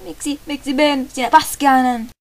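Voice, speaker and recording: A woman's voice: high voice, fairly even intonation, voice pushed up, lightly acted. A woman voicing a brave young male dog pup in an anime fan dub, crying out dramatically in grief and vengeful anger. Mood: grieving fury. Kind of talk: fan-dubbed anime acting.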